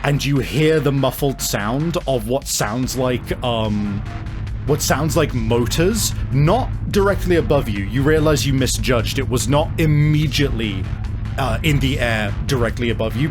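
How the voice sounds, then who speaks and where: A man runs a tabletop roleplaying game over clearly audible music. He improvises descriptive, suspenseful narration with a halting delivery, in a mid-pitched voice. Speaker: game master. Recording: music behind the voice.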